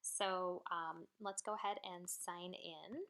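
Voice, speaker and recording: A woman's voice: lowish voice, steady tone, takes it slowly, fairly bright tone. A woman walking new support staff through a messaging tool, talking casually with many ums and uhs as she explains each step. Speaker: customer support trainer. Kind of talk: screen-share training walkthrough.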